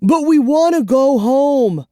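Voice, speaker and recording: A scared young boy acted by an audiobook reader, stammering and pleading in a high, strained voice. it is recorded close and dry. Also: expressive, slow pace, warm tone, no echo. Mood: frantic.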